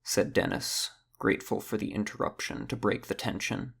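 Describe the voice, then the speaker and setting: Very gravelly low-voiced male narrator. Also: normal speed. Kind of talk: scripted narration of a fantasy audio drama.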